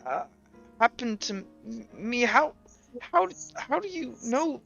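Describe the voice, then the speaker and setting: A woman voicing a nervous teenage boy in a tabletop game, stuttering and halting as he urges action. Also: a little high, big pitch swings, gravelly, a bit bright, highs cut off, faint music behind, pitched above the performer's own voice, exaggerated voice. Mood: uneasy. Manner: halting, uneasy.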